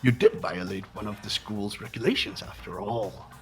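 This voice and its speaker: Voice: medium pitch, moderate pace, faint music behind, natural voice. A performer voicing a gleefully sadistic robot teddy-bear headmaster in a fan game dub, theatrical and mock-polite. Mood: gleeful menace. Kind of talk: fan voice acting of a video game.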